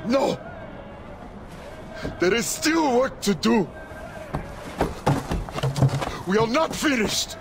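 An actor playing a zealous, middle-aged preacher haunted by sin in a video game, with a grandiose, sermonizing delivery. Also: male voice, extremely high pitch, lively intonation, takes it slowly, full character voice. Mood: anguished resolve. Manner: fervent, grandiose, quoting scripture like a sermon. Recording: game audio with clear outdoor ambience noise.

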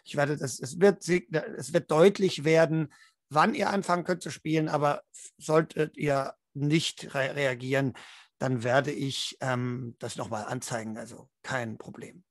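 A man with a fairly high voice leads a tabletop role-playing session as game master. He takes his time over his words, and the sound is close and dry. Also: fairly even intonation, a little slow, dry recording. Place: indoor room at home, online video call.

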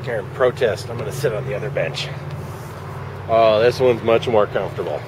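Fortyish guy, slightly low voice, wry deadpan vlog chatter, recorded at arm's length in a busy parking lot. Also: brisk. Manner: dry, wry running commentary, muttered asides. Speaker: vlogger. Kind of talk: rambling vlog commentary. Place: outdoors at a shopping center parking lot. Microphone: handheld camera at arm's length. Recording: handheld camera outdoors with traffic noise. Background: traffic and outdoor ambience.

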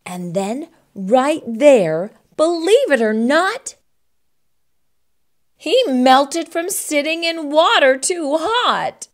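A middle-aged woman hosting storytime, reading a children's picture book aloud with expressive narration. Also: high-pitched, very slow. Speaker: storytime host.

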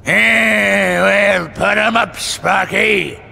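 A man voicing a bossy, hot-tempered gangster ventriloquist dummy in a high, pinched, gravelly bark, on a noisy, reverberant recording. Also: very slow, pushed and bright, full character voice. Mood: angry, demanding. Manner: barking, bullying.

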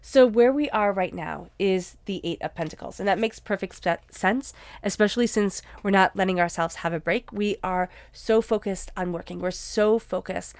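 A woman's voice: medium pitch, normal speed, few pauses, dry recording. A woman with a congested voice gives a conversational spiritual tarot reading, earnestly repeating and rephrasing for emphasis. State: congested from allergies. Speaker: tarot reader.

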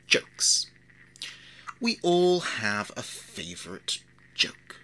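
A man playing a sinister, theatrical character in a horror audio drama monologue, speaking deliberately and dropping into whispers. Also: fairly low pitch, wildly swooping pitch, pushed and bright, full character voice, low-quality recording. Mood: sly, sardonic.

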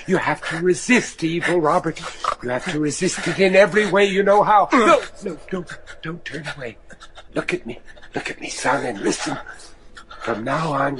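A man's voice: fairly high pitch, animated, normal speed. An actor playing a devout, anguished older father, a judge desperate to save his son, pleading earnestly and theatrically in a gothic horror radio drama. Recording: noisy radio drama recording.

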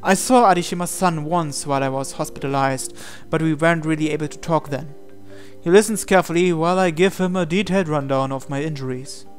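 A man reading a visual novel aloud as expressive narration, in a measured, dramatic way. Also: on the high side. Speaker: gaming channel host reading aloud. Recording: voice over game music. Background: game music.

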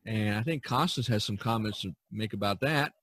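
A man hosting a casual podcast over a rough internet-call connection. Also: on the low side, normal speed, a bit bright, no echo, studio quality. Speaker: podcast host. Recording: poor internet-call audio, faint music.

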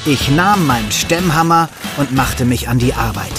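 A friendly builder played by a male actor tells his story to children in a mid-range voice. It is audio drama narration, with music clearly running underneath. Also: medium pitch, expressive, normal speed, lightly acted. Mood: storytelling. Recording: music behind the voice.

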